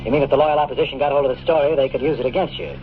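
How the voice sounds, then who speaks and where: An actor playing a cynical, wisecracking bachelor private detective in a radio drama, with a snappy, sardonic hardboiled delivery. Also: male voice, medium pitch, fairly even intonation, rapid-fire, noisy background, close to an everyday voice. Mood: probing, dry. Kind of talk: 1950s radio detective acting and first-person narration. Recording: muffled old radio broadcast with music.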